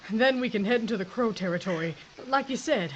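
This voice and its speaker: A woman's voice: a little high, animated, fast talker, lightly acted. A woman playing a tough woman sheriff on the run, with a blunt frontier drawl and barked orders. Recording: slightly dull, faintly noisy recording.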